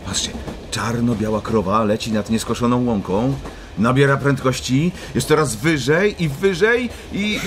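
A man's voice: medium pitch, measured pace, noisy background, natural voice. An actor playing a playful, teasing, busy father who jokes in rhymes, in a children's audio drama. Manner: jokey, rhyming wordplay.

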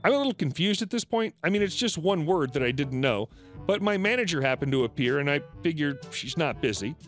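Guy reading reddit stories, voice bouncing all over, bit high, faint music behind. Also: brisk. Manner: lively, animated reading with shifting pitch for quoted customers. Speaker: story narrator.

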